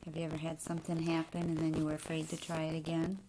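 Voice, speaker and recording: A middle-aged woman reads a children's book aloud in deliberate short phrases, pausing to put questions to young listeners. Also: low-pitched, completely flat delivery, dark tone, a little hiss or hum, low-quality recording. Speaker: teacher reading a picture book aloud. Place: indoor room in a home.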